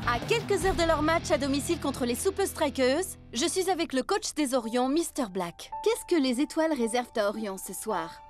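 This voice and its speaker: A woman's voice: high voice, lively intonation, normal speed, hardly any pauses. An actress voicing an eager female TV sports reporter in a cartoon, interviewing. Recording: music behind the voice.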